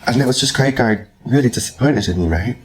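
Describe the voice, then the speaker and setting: A man's voice: medium pitch, lively intonation, brisk. A man in his thirties hosting a podcast, telling stories in a jokey, informal, gossipy way. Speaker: podcast host. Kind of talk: casual chat interview, animated storytelling.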